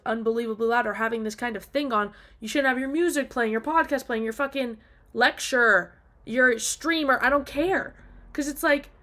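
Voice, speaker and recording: Exasperated woman ranting, voice fairly high. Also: fairly bright tone. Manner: exasperated, emphatic, casually sweary. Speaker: video diarist. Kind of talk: casual opinionated rant, spoken off the cuff.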